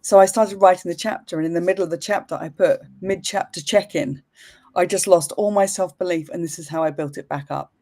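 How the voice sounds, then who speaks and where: A woman's voice: on the low side, fairly even intonation, fairly quick, dark tone. A woman in her fifties, a business mentor speaking as a guest in a live video chat, frank and upbeat and ready to drop a casual swear. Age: 50s.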